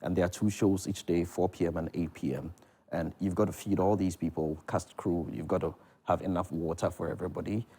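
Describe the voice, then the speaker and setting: A man with a Ghanaian accent speaks in a fairly low-pitched voice. He talks conversationally, as a theatre producer and director answering questions in a TV interview. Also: fairly even intonation, a little fast, dark tone, breathy edge. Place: television studio.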